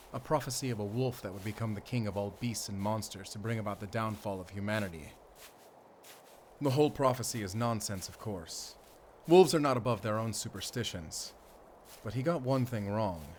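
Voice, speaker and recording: An actor playing a mysterious, confident monster hunter with a German accent in a gothic horror audio roleplay, speaking in a measured way to a companion. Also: male voice, lowish voice, a little hiss or hum, lightly acted.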